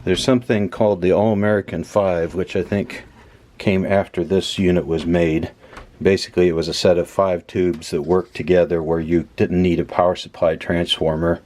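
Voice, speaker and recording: A man with a low voice talks through things in a casual, explanatory way. He often pauses mid-phrase, as if working it out while he speaks. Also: level tone, darkish tone. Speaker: host of a vintage electronics repair channel. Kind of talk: casual explanatory hands-on commentary.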